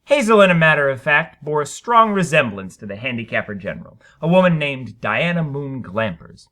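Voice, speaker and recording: A man's voice: a little high, sing-song, normal speed, bright, forceful tone. A man reading a short story aloud as dramatic scripted narration. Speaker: story reader.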